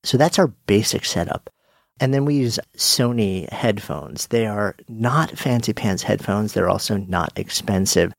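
A man's voice: on the low side, lively intonation, a little slow, gravelly, no room sound. A man giving a casual, friendly walkthrough of his podcast gear, switching between a studio mic and a headset mic. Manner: friendly, demonstrative, self-deprecating. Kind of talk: casual explainer talk. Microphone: studio dynamic mic on swing arm, later headset mic. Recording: dry close-mic sound.

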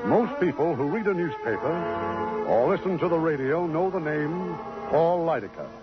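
A man's voice: fairly high pitch, expressive, moderate pace, over loud music. A man hosting and narrating a scripted drama program in 1950s radio style. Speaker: radio show host and narrator. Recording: muffled 1950s radio broadcast.

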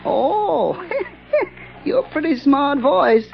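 A man's voice: extremely high pitch, very animated, drawn-out words, gravelly, heavily acted. An actor playing an unhinged, murderous night watchman in an old radio drama, stammering and giggling in a nervous, childlike way. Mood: agitated, giggly. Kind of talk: 1940s radio acting. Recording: muffled old-time radio recording.

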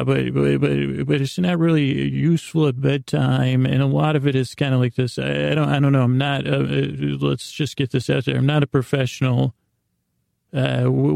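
A weary man with a heavily creaky, dark voice talks listeners toward sleep in a close, dry recording. His delivery is relaxed and little varied in pitch, wandering through self-deprecating asides. He stops often for long, pondering pauses. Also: no room sound. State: tired, worn down. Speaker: podcast host and bedtime storyteller. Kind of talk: rambling, meandering bedtime podcast monologue.

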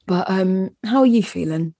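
A woman's voice: mid-range voice, normal speed, warm tone, no echo. A young woman co-hosting a podcast in casual chat, rambling with lots of fillers. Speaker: podcast co-host.

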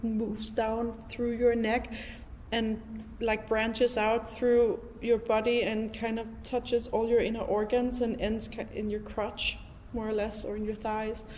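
A woman's voice: on the high side, level tone, a little slow, a little mellow. A woman hosting a casual, reflective interview, thinking aloud in an informal, enthusiastic way. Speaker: interviewer, podcast host. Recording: noisy, reverberant hall recording.

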